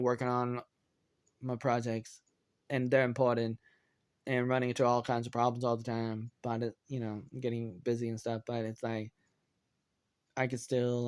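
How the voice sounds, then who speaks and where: A man in his thirties with an American accent, giving a rambling personal update in a hesitant, halting way and often repeating himself. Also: mid-range voice, clear, resonant tone, dry recording, studio quality. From Oregon, United States. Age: 30s. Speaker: online video creator.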